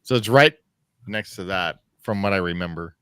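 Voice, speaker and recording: A man's voice: low-pitched, normal speed, fairly bright tone, clean tone, no echo. A man chatting on a live stream, hesitant and searching for words with frequent ums. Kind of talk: casual chat.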